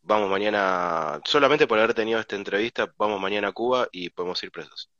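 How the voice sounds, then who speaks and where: An Argentine man interviewing casually, halting and repeating himself as he talks, on dull lo-fi audio. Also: lowish voice, pushed and bright, no echo.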